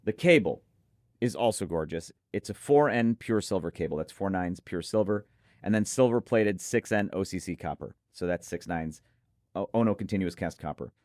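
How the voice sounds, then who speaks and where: A man's voice: low voice, expressive, normal speed, darkish tone, no room sound, clean studio sound. A man co-hosting a product preview, speaking emphatically and effusively and repeating points for emphasis. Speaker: audio gear reviewer and co-host. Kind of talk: enthusiastic product preview talk.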